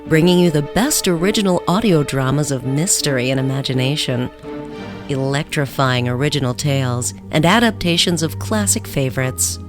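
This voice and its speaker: A woman's voice: sits low, moderate pace. A woman announcer reading a scripted introduction for a radio theater show. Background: music.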